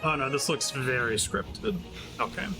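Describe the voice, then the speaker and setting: A man's voice: mid-range voice, animated, a little slow, music in the background. A man giving casual, wry and sarcastic livestream commentary on a game. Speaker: game streamer.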